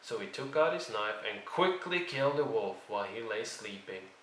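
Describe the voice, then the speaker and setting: Male storyteller for children's read-alongs: expressive, forceful bright tone, roomy echoing sound. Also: on the low side, moderate pace, a little hiss or hum. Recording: roomy, distant microphone.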